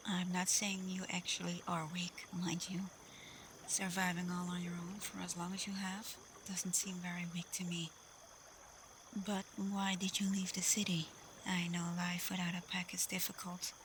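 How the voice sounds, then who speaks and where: Woman playing a soft, low-voiced caring werewolf leader. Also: little pitch movement, normal speed, a little hiss or hum, lightly acted. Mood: sympathetic. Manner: soft, breathy, gently reassuring. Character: female werewolf pack leader, caring, protective, frank. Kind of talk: ASMR roleplay, soft intimate acting.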